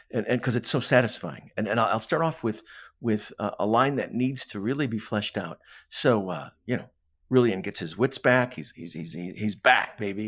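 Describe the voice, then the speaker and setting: A man with a fairly low voice talks about books as an interview guest. He is conversational and emphatic, punctuating his points with little questions. He slips into imagined dialogue to explain what he means. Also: slightly rough. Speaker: guest author on a podcast. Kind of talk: animated literary discussion in conversation.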